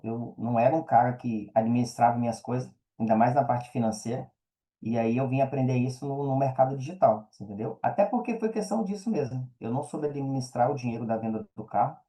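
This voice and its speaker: A man's voice: mid-range voice, fairly quick, warm tone. A man with a Brazilian Portuguese accent chatting casually as an interview guest, slangy and often checking that he is understood. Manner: slangy, peppers talk with check-in tags. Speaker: podcast guest, affiliate marketer.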